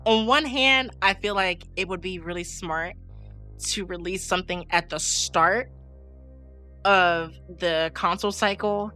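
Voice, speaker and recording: A woman with a bright, forward voice pitched a little low, chatting casually as a podcast co-host. She has a slight cold and talks in an emphatic, slangy way, with faint music behind her. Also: unhurried. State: slight head cold with sniffles. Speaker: podcast co-host and game streamer. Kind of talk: casual unscripted podcast chat.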